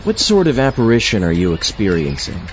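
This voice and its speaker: A man playing an earnest, easily exasperated zombie astronaut host in a comedy audio drama, explaining things haltingly. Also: on the low side, wildly swooping pitch, muffled recording, a little hiss or hum. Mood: polite.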